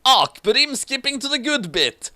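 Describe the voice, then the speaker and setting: Man putting on a shrill, melodramatic young villain. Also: sing-song, moderate pace, bright, forceful tone, no room sound, full character voice. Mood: excited. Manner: theatrical, exclaiming. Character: melodramatic, ranting, dim-witted young male villain called Dark.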